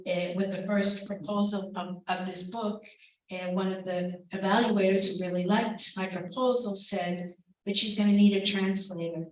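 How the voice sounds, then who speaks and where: Distant, echoey woman giving a keynote. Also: lowish voice. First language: English. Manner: measured, reflective, soft laughs between points. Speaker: keynote speaker, professor. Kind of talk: academic keynote and Q&A answer. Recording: very echoey hall, distant mic.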